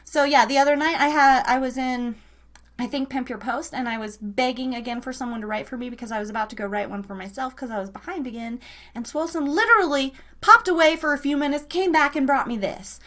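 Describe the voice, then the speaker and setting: A woman with a fairly high voice hosts a live podcast. She talks in a casual, informal way, often repeating words for emphasis, as if chatting with regulars. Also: quick pace, fairly bright tone. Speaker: host of live horror story podcast. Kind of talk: casual live show chat.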